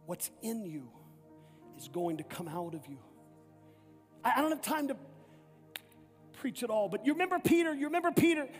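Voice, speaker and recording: A man's voice: very high voice, big pitch swings, normal speed, pauses often. A man preaching a sermon as pastor, emphatic and repetitive, often restarting his phrases. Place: church sanctuary. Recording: echoey hall with faint music.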